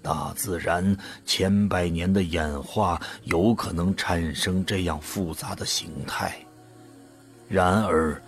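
A man acting in an audio drama as an awed, unsure ordinary volunteer who narrates his own story. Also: low-pitched. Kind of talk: dramatic scripted narration and dialogue.

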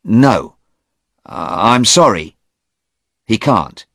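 A man's voice: fairly low pitch, expressive, extremely slow, vocal fry, fairly bright tone, no echo, clean studio sound, voice pushed up, full character voice. A man reading aloud voices a defensive young man who hesitates and dodges questions.

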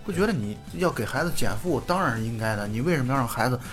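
A middle-aged man co-hosting a podcast, chatting casually and off the cuff in an animated, opinionated way. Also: mid-range voice, racing, faint music behind. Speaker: podcast host. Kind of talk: casual unscripted podcast chat.

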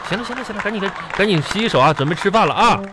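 A man's voice: high-pitched, animated, racing, loud music behind the voice, a loud crowd. A man playing a blustering, stingy, teasing father in a comedy radio drama, sputtering and repeating himself as he coaxes and scolds. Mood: teasing, blustery. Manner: sputtering, repetitive, coaxing and scolding.

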